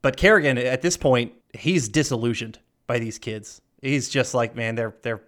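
A man's voice: medium pitch, moderate pace, a bit bright. A man co-hosting a podcast in casual chat, rambling through a film's scenes with playful quoted lines, laughing and swearing now and then. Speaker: podcast co-host.

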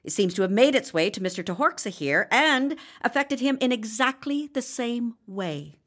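Dry, exasperated healer, higher-pitched. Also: female voice, lively intonation, moderate pace, fairly bright tone. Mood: dry, clinical. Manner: dry, sarcastic, no-nonsense. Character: curt, dry, exasperated female healer running a fantasy clinic. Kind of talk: comic fantasy audio drama acting.

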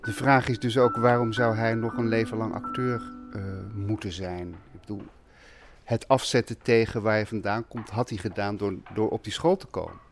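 A man in an interview, reasoning aloud in a measured, analytical way. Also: fairly low pitch, steady tone, faint music behind.

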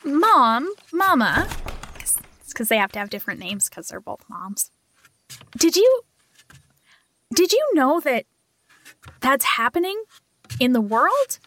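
A woman's voice: sits high, sing-song, takes it slowly, pauses often, a bit bright. A woman playing in a tabletop game, speaking eagerly and earnestly in character. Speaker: player.

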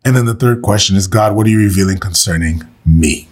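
A man leading a slow, meditative scripture reading and prayer for listeners, saying he is under the weather and losing his voice. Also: on the low side, breathy edge. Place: recorded for online listeners.

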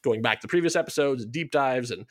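A man's voice: mid-range voice, a little fast, dry recording, clean studio sound. A man speaking as a show creator in a casual promotional chat, rambling along in a jokey, self-deprecating way. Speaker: show creator being interviewed.

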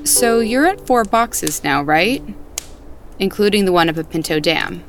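An actress playing a curious, thoughtful woman with a gentle, probing manner, in naturalistic audio drama dialogue. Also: on the low side, expressive, measured pace, over music. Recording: faint outdoor ambient noise.

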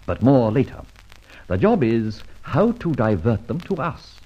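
An actor playing a suave, wry, self-assured gentleman thief in a vintage radio drama, with an urbane manner. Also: mid-range voice, big pitch swings, unhurried, slightly rough. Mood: sly, amused. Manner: urbane, wry, clipped commands. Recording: dull vintage radio recording, music behind.

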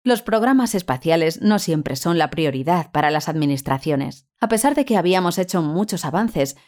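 A woman playing a composed, guarded astronaut being interviewed in a scripted audio drama, with measured answers. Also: lowish voice, lively intonation, a little mellow, close to an everyday voice. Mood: evasive. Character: composed, guarded Spanish woman astronaut turned university researcher. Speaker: interview guest.

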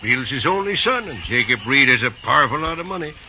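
Bright-voiced folksy old railroad boss. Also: male voice, mid-range voice, expressive, quick pace. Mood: earnest, confiding. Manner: rustic drawl, exclamatory, homespun. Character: folksy, genial old railroad boss, back-country man. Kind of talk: old-time radio drama acting. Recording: muffled, noisy old radio recording.

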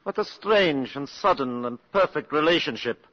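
A man playing a suspicious, calculating Nazi colonel in a 1950s radio drama, speaking in a formal, measured way. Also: a little high, animated, fairly bright tone. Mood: cold suspicion. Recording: muffled, reverberant 1950s radio broadcast.

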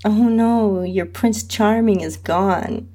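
A woman's voice: medium pitch, fairly even intonation, slow, mellow. A woman voicing a playful, teasing young female best friend in a story, often half-whispering.

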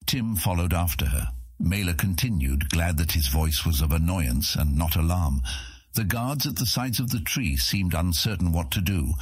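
An extremely deep-voiced man narrates a fantasy audiobook. His tone is husky and his delivery is dramatic, scripted storytelling. The recording is close and dry. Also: lively intonation, normal speed, no echo.

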